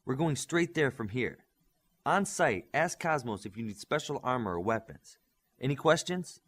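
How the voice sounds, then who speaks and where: A man's voice: mid-range voice, big pitch swings, moderate pace, fairly bright tone, slightly rough. A man voicing a young, earnest superhero squad leader in an audiobook, giving orders in an emphatic briefing style. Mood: commanding. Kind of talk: audiobook character dialogue.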